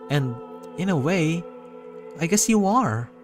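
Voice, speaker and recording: A man voicing an excitable, affectionate young female superfan in a visual novel, gushing and emphatic. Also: a little high, takes it slowly, pitched above the performer's own voice. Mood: gushing, adoring. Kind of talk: visual novel character acting. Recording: music behind the voice.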